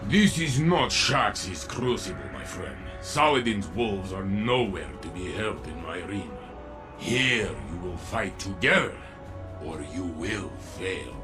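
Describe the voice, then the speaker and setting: An actor playing a hearty veteran warrior who runs a combat tournament in a video game, speaking in a grand, theatrical way. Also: male voice, low voice. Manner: theatrical, grand, measured delivery. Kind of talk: video game character acting.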